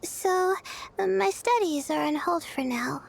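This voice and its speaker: A woman voicing a sleepy, timid young female student in a video game, with a soft, hesitant way of talking. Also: very high voice, takes it slowly, vocal fry, full character voice. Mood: tired, earnest. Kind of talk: video game character dialogue.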